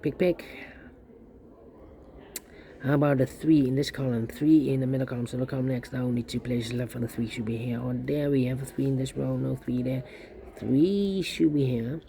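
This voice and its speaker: A man's voice: mid-range voice, vocal fry, dark tone. A man giving a step-by-step Sudoku tutorial, thinking aloud in a methodical, repetitive way and muttering to himself as he works. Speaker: puzzle instructor.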